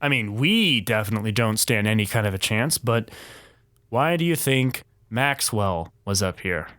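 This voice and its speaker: A man's voice: lowish voice, expressive, normal speed, a bit bright, no echo, clean studio sound. A man playing an abrasive second-in-command on a space station, arguing emphatically in an audio drama. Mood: measured. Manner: emphatic, lecturing, builds rhetorical hypotheticals.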